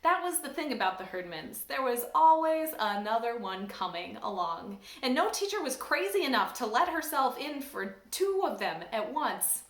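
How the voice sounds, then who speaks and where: High-voiced woman reading a storybook aloud. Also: moderate pace, hardly any pauses. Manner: expressive, lively storytelling. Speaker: church staff reader. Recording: roomy echo.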